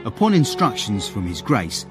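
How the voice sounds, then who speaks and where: An actor playing a young English manservant, deferential, measured and droll, acting and narrating in a period radio drama. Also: male voice, fairly low pitch, wildly swooping pitch, music in the background. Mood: droll narration. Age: young adult. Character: discreet, respectful, ambitious young English manservant. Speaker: servant and narrator.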